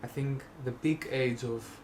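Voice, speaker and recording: A man's voice: medium pitch, steady tone, measured pace, background noise. A young man from Greece with a Greek accent, rambling casually in a vlog, full of fillers and self-restarts with wry jokes. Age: young adult. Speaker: vlogger.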